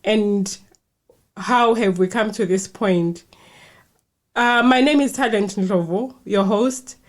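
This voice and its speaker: A woman from Zimbabwe, hosting a podcast and reading her introduction in a clear, measured way. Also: mid-range voice. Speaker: podcast host. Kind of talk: scripted podcast intro, then interviewing.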